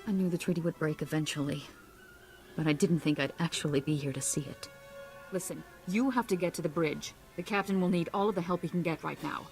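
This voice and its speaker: A woman's voice: low-pitched, a little fast, a little mellow, low-quality recording. An actress voicing an anxious, polite and earnest female starship passenger in a video game. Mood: urgent. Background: game music.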